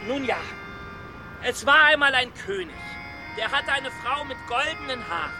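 An actor playing an excitable, stammering storyteller in a children's radio play, flustered and coaxing. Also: male voice, very high-pitched, moderate pace. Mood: storytelling. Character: excitable, stammering male storyteller and fairy-tale collector. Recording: music under the voice.

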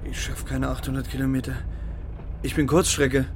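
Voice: mid-range voice, little pitch movement, moderate pace, noisy background, lightly acted. An actor voicing a cocky, greedy, streetwise bird in a children's radio play, talking in brash slang and exclamations. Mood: grumbling.

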